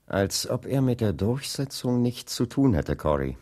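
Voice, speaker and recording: A man's voice: mid-range voice, sing-song, measured pace, mellow. An actor playing a determined civil rights leader talking privately with his wife in a radio drama, emphatic and wry. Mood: frustrated, resolute.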